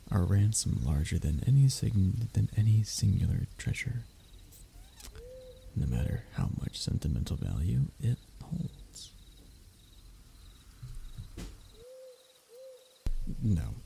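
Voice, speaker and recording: A man's voice: lowish voice, gravelly, mellow, a little hiss or hum, heavily acted. A man playing a young royal heir in a roleplay audio drama, formal and pompous in his wording but often faltering into nervous stammers as he addresses the listener. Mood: earnest, pleading.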